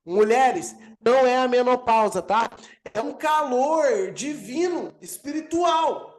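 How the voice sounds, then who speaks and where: A man with a Brazilian accent, an apostle teaching a healing course over a video call, energetic and emphatic as he answers questions. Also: very high voice, takes it slowly. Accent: Brazilian Portuguese. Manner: energetic preacher, swells and emphasizes key words. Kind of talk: live online teaching with Q&A.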